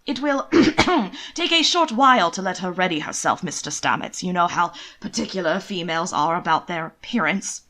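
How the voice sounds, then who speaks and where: A woman's voice: fairly low pitch, lively intonation, moderate pace, sharp tone. A woman voicing a nervous, placating male earl in an audio story, formal and hesitant. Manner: formal, hesitant, throat-clearing. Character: nervous, placating English earl stalling a guest.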